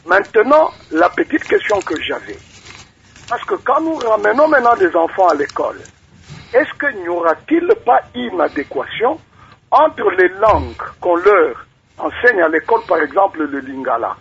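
A man's voice: sits high, big pitch swings, normal speed, creaky, a bit bright, husky. A man with a Congolese accent calling a radio show and putting a question at length. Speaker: radio caller. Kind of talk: asking a question on air. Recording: dull telephone line on radio.

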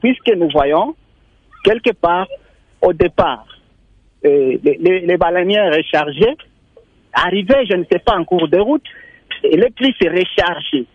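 A young man from Maniema calling in to a radio show, complaining in a halting way and repeating words. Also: high voice, animated, slightly rough, dry recording, muffled recording. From Maniema, Congo. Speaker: radio caller. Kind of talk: call-in comment.

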